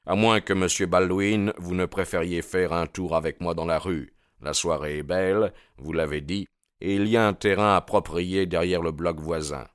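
A man voicing a composed, defiant young man in an audiobook, speaking in a quiet, dry manner. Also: sits low, measured pace, clean tone, no room sound. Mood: quiet challenge. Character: cool, defiant, bitterly self-aware young man.

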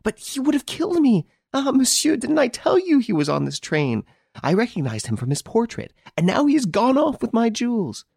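A male audiobook reader voicing a frantic, breathless lady who stammers in a high, excited voice. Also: moderate pace, gravelly, husky, no echo, clean studio sound, exaggerated voice. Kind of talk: audiobook character voice.

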